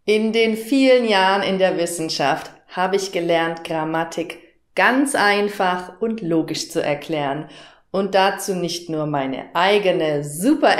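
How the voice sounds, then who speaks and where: A woman in her thirties from southern Germany, a German teacher presenting a lesson to learners in a clear, didactic way with careful enunciation. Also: lowish voice. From southern Germany, near Stuttgart. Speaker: German teacher, workshop host. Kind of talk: instructional presentation to camera, addressing learners directly. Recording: roomy, echoing room sound.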